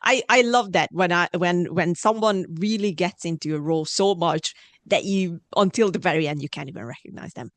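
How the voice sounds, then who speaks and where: A woman's voice: lowish voice, a little fast, dry recording. A woman from Hungary interviewing a guest casually as an enthusiastic podcast host.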